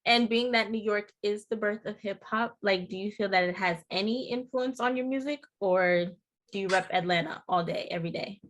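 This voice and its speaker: A woman's voice: medium pitch, moderate pace. Upbeat woman interviewer. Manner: upbeat, affirming, "we love that". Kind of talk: interview questions.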